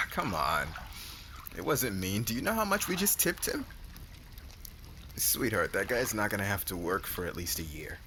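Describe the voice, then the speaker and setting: Over clear ambient noise comes a low, lively-pitched young warrior, acted by a man. He is a devoted, laid-back husband in a romance roleplay, teasing and slangy. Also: slow, pauses often, exaggerated voice. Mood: amused, teasing. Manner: casual slangy talk, stammers when flustered.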